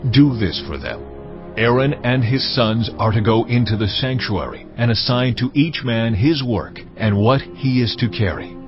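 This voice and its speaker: The solemn voice of God as played by a man in a dramatized audio Bible. He gives commands with measured authority at a middle pitch. The sound is slightly dull, with music clearly playing behind. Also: animated, natural voice. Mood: solemn, commanding. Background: clear background music.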